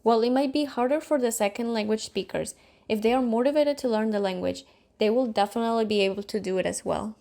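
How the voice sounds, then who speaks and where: A woman's voice: fairly high pitch, fast talker, vocal fry, dark tone. A young woman reading scripted narration as a student news reporter.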